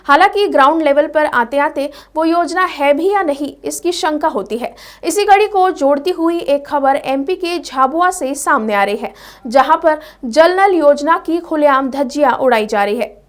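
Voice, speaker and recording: A woman's voice: high voice, moderate pace. A woman presenting scripted news as the anchor of a bulletin.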